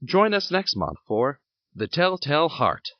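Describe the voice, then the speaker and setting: Guy reading scripted podcast credits, lively narrator delivery, slightly dull sound. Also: fairly high pitch, unhurried, soft background music.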